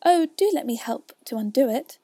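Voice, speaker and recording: An earnest young storybook girl, acted by a woman, polite and eager to please. Her voice sits fairly high with a soft mellow tone, recorded very dry. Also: expressive, normal speed, breathy edge, dry recording. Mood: apologetic, eager.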